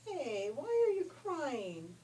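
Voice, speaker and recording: A woman plays an odd old spinning auntie from a storybook. The voice is raised and plain-spoken, kindly and matter-of-fact, heard a little far from the mic with clear noise around it. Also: sits high, huge pitch swings, slow pace, mellow, pitched above the performer's own voice, exaggerated voice. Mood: coaxing, helpful. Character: three strange kindly old spinner aunties in a fairy tale.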